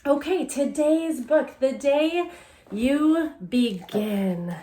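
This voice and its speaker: A woman with a fairly high voice reads a picture book aloud as a teacher would to young children. She takes her time, shaping phrases expressively and turning to her listeners with simple, conversational questions. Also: animated, drawn-out words, mellow. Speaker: elementary English language development teacher.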